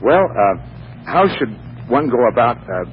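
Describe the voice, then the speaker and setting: Stuffy, earnest businessman played by a man, animated pitch. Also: measured pace, natural voice. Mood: awkward, earnest. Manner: formal, hesitant, proper. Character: stuffy, earnest businessman, awkward about romance. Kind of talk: 1940s radio comedy acting. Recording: dull, noisy 1940s radio recording.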